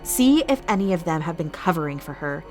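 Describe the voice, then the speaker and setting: Low-voiced, commanding woman in character over music. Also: lively intonation, brisk, close to an everyday voice. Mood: cold, commanding. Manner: formal, clipped, authoritative. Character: commanding, calculating female fight-arena master, suspicious and ruthless. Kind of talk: audio drama acting. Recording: music bed under the voice.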